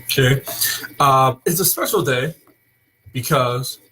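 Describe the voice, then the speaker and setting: A young man hosting a casual wrestling talk show, opening with loud hype and then rambling with fillers. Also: mid-range voice, slow, a little hiss or hum, rough recording. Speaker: host. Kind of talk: casual wrestling podcast chat.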